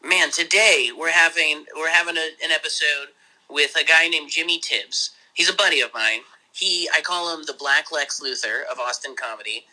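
A man, hosting a podcast, talking casually over a phone call in a rambling, excited way with some swearing. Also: normal speed. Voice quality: high voice. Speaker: podcast host.

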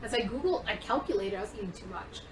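A young woman comedian telling stories in a casual chat, animated and prone to tangents, acting out what people said. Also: mid-range voice, fast talker, recorded from a distance. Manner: animated, acts out quotes, goes off on tangents. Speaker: comedian and actress guest. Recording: roomy echo, off-mic, faint noise.

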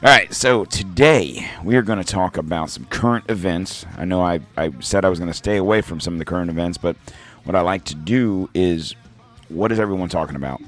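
Deep, hoarse-voiced man hosting a casual podcast with soft music underneath. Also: fairly quick. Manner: rambling, self-deprecating, reads sponsor ads. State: hoarse from throat trouble. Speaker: podcast host. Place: home podcast studio.

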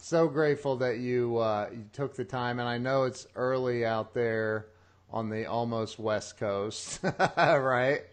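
A man hosting an interview show, talking in an excitable, casual way with lots of restarts and laughs. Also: medium pitch, slow. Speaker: podcast host.

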